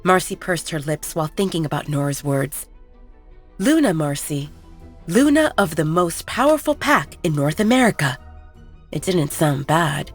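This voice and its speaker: A woman narrates a story in an even, measured manner, her voice low for a woman. Soft background music plays beneath her reading. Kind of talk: scripted audiobook narration.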